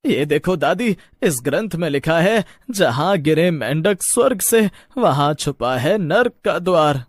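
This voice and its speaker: A man's voice: a little high, expressive, unhurried, no room sound, studio quality. A man voicing a grave village priest in a horror story, warning of curses and omens in a portentous, insistent way. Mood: foreboding.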